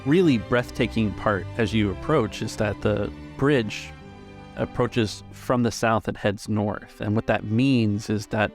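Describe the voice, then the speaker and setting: A man runs a tabletop game as its narrator. His voice is fairly low with a lot of fry, and he builds descriptions in halting, searching phrases. Faint music plays underneath. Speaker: game master.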